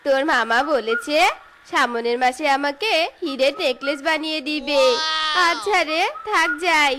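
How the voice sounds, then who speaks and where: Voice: high-pitched, unhurried, slight background noise. A performer voicing a proud tigress auntie in a cartoon, chatty and showing off, with music behind. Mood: proud, boastful. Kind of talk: cartoon voice acting. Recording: loud background music.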